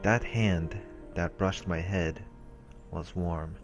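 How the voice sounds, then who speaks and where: A man's voice: sits low, fairly even intonation, slow pace. A man reading visual novel text aloud as a let's play host, in a narrating style. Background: soft game music.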